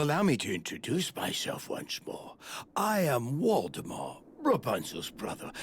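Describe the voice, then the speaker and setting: A man's voice: on the high side, creaky, husky, heavily acted. A man playing a scheming, vengeful villain musician in a video game cutscene, with a theatrical, menacing, taunting manner. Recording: background music under the voice.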